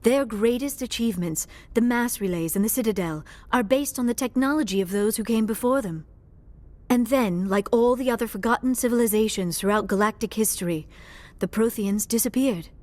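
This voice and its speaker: An actress playing an earnest young alien researcher in a video game, speaking in a formal, precise, measured way. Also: female voice, a little high, a little mellow, dry recording, close to an everyday voice. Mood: intent, scholarly. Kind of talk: scripted video game dialogue.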